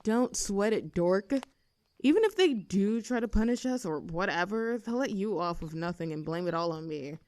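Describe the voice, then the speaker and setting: A woman's voice: medium pitch, lively intonation, moderate pace, a little mellow, lightly acted. A young woman playing a rebellious teenage girl bully in an audio roleplay, with a sarcastic, teasing, brash manner. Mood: cocky teasing. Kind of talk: scripted roleplay audio drama.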